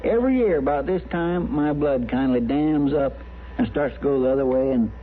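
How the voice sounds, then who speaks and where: A man's voice: medium pitch, normal speed, natural voice. A man playing a sheepish, folksy deputy in an old radio western, with a rural American accent and rambling, hesitant delivery. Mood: sheepish confession. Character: sheepish, lovesick, folksy deputy of a frontier marshal. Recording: tinny, faintly noisy old radio broadcast.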